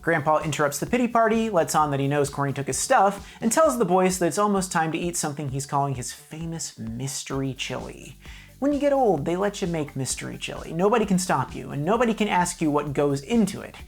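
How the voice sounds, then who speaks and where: Low, animated woman, wry reviewer. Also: fairly quick, faint music behind. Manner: wry, mock-serious, emphatic. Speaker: video reviewer, host. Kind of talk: scripted comedic review narration.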